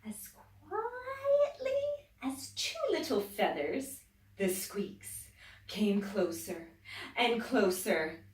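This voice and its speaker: A woman teacher reading a children's story aloud, expressive, dropping to whispers and rising to loud exclaims. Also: mid-range voice, extremely slow. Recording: echoey room, distant mic.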